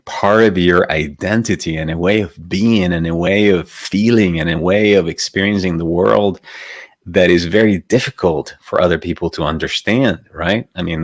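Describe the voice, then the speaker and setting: Male meditation host, very low pitch, dry sound, reflective teaching tone. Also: no room sound. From Venezuela. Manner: reflective, asks rhetorical questions, often adds "right?". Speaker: meditation teacher hosting a live stream. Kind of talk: conversational spiritual teaching.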